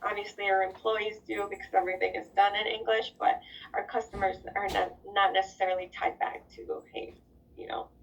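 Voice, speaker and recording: A woman's voice: mid-range voice, a little fast, creaky, slight background noise. A woman from the United States with a slight Spanish accent on some words, chatting through interview answers with lots of fillers, on a video call. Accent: slight Spanish accent on certain words. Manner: relaxed, chatty, many fillers. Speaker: interviewee, employee of a global company. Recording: distant, dull lo-fi sound.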